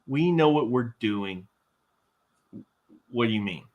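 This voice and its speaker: A man's voice: medium pitch, expressive, slow pace, darkish tone, lo-fi sound. A man playing a bumbling, boastful, eager sidekick with blustering false bravado at a live fantasy table read.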